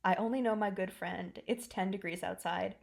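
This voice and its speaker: Woman, medium pitch, informal podcast-style chat, irreverent, joking co-host. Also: fairly even intonation, normal speed, gravelly. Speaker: podfic co-creator and host. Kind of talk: casual chat endnotes.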